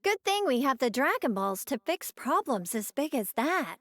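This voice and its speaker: A woman's voice: high voice, very animated, normal speed, a bit bright, no room sound, clean studio sound, full character voice. A woman voicing an earnest, curious, sweet young woman fighter in an English anime game, with a wondering manner.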